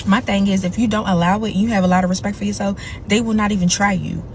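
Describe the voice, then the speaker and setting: Frank, opinionated woman with a mid-range voice; faint background rumble. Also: steady tone, quick pace. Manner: frank, opinionated, talks it through. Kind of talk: opinionated commentary video.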